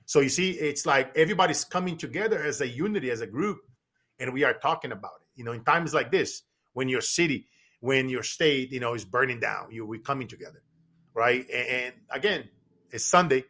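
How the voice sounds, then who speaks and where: A man's voice: mid-range voice, a little fast. A middle-aged man from Brazil, a business coach, talking emphatically as a podcast guest, with many restarts. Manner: animated, repetitive restarts, emphatic. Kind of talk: casual podcast conversation.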